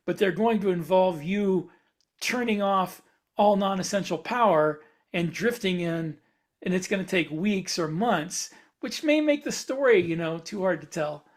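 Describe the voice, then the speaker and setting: High-pitched man reasoning aloud on a podcast. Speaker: podcast panelist. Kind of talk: casual panel discussion.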